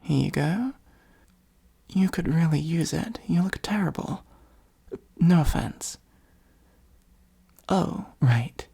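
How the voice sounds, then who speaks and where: A performer voicing a theatrical supervillain in an ASMR roleplay, with a soft breathy delivery, pompous and sardonic. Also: mid-range voice, takes it slowly, pauses often, exaggerated voice. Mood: wry, concerned. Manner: pompous monologuing, sardonic exasperated asides. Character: theatrical gloating supervillain, secretly fond of the hero.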